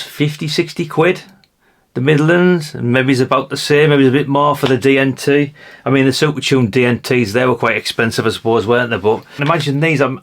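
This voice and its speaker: A British man giving a casual hands-on show-and-tell as a vlogger, musing aloud in a rambling way with chatty asides to the viewers. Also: medium pitch. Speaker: vlogger showing a haul of vintage CB radios.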